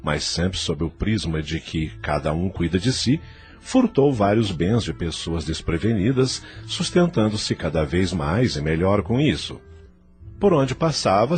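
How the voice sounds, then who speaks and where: Deep, expressive Brazilian male narrator. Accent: Brazilian Portuguese. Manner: theatrical, literary storytelling. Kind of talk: dramatized scripted narration of a novel.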